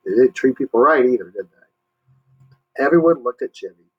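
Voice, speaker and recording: A man reading a story aloud to young listeners, pausing to explain and ask questions. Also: mid-range voice, animated, slightly rough, highs cut off. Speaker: storybook reader, teacher.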